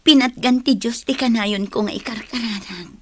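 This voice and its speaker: A woman's voice: on the high side, normal speed, a bit bright, a little hiss or hum, lightly acted. Woman acting a devout Ilocano wife. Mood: grateful, moved. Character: devout, grateful Ilocano wife of a reformed husband. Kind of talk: radio drama acting.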